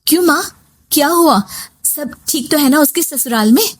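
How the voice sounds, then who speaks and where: A woman's voice: sits high, moderate pace, voice pushed up, close to an everyday voice. A woman voicing a dutiful, worried young married woman in a story, earnest and respectful. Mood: anxious. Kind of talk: story voice acting.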